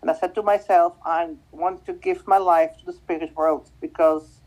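A woman in her fifties from Belgium, a native Dutch speaker with a Dutch-speaking Belgian accent, answering interview questions conversationally and haltingly, often repeating words. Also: on the low side, steady tone, a little mellow, low-quality recording. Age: 50s. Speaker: guest, spiritual medium.

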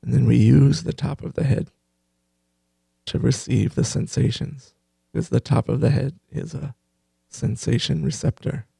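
A man leading a guided meditation in a gentle, unhurried way, pausing between short phrases. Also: mid-range voice, gravelly, husky, no echo. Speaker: meditation teacher and host.

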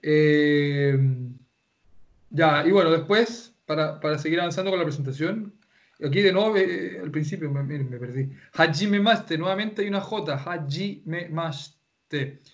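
A man teaches a Japanese class online, patiently explaining and restarting his sentences. His voice sits a little high, and the audio is muffled and lo-fi, with keyboard typing in the background. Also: unhurried. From Chile. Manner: explains patiently, repeats words, many restarts.

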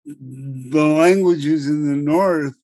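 A middle-aged man on a podcast, explaining legal matters in a measured way and repeating words as he starts each thought. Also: a little high, mellow, dry recording. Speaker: podcast co-host explaining law. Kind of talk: explanatory conversational chat.

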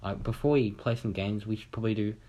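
A man's voice: lowish voice, fairly even intonation, fast talker, mellow, rough recording. A young man from Australia telling a long, rambling story in casual chat on a podcast, swearing casually and acting out what people said.

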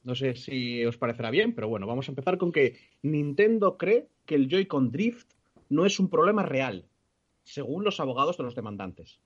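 A man's voice: mid-range voice, lively intonation, moderate pace, slightly rough, dull audio. Man hosting a casual podcast. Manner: rambling, laughs often. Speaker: podcast host.

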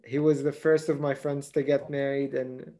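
A man's voice: mid-range voice, level tone, moderate pace, mellow. A man in his twenties co-hosting a podcast, telling a story animatedly with lots of fillers. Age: 20s. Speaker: podcast co-host. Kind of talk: casual chat.

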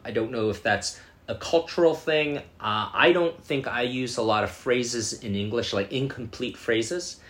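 Man, learner vlogger; casual, hesitant delivery, sounds out new words. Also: mid-range voice. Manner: halting, lots of fillers, sounding out foreign words slowly. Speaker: language learner reacting to a show. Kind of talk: casual commentary.

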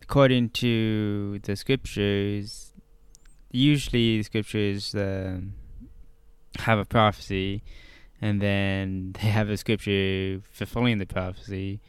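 Man, deep clear voice, slow hesitant talk, lots of uhs, dry close sound. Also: flat delivery, no echo. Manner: slow, hesitant, many fillers. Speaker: podcast co-host. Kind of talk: casual scripture discussion.

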